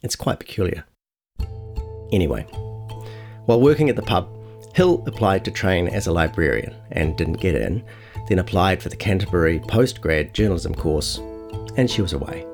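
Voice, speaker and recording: A man's voice: low voice, big pitch swings, moderate pace, creaky, pauses often, darkish tone, soft background music. A man reading a scripted feature article aloud as narrator, acting out quotes as he goes. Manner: acts out quotes within narration. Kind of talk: scripted audio long-read narration.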